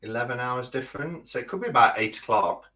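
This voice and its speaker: A man's voice: mid-range voice, animated, fast talker, sharp tone. A man speaking as a guest on a live stream, chatty and informal. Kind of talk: casual live-stream chat.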